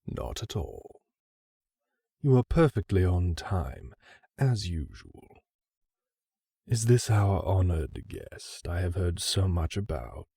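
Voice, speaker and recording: A courteous male purveyor to an exclusive hotel, played by a man in an audio roleplay, his voice low, dark and husky. He speaks formally and with dry deference, his slow delivery swooping in pitch. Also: big pitch swings, dry recording, full character voice. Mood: deferential, welcoming. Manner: formal, deferential, drily understated.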